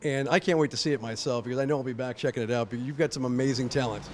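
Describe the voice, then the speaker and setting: A man's voice: mid-range voice, racing, a little hiss or hum. A man hosting an upbeat on-camera interview, chatting in an effusive way. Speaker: interviewer and show host.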